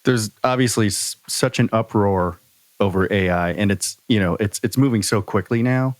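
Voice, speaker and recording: A man hosting a podcast in casual conversation, earnest and halting, often repeating himself for emphasis on a close microphone. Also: lowish voice, dry recording. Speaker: podcast host.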